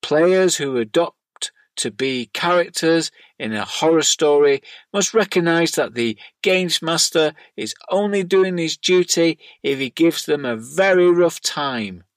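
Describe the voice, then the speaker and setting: A man's voice: a little high, lively intonation, unhurried, no echo. A middle-aged man reading scripted narration and listener letters aloud as a podcast host.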